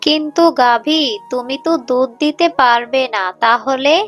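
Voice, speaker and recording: A woman voicing a kindly, caring mother fox in a cartoon, earnest and gentle. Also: high voice, measured pace, soft background music. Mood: anxious. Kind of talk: cartoon voice acting.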